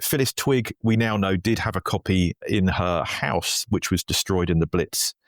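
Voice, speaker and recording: Low-voiced man, chatty and digressive. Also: moderate pace, no echo, clean studio sound. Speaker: writer and radio historian, podcast guest. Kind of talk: conversational storytelling in an interview.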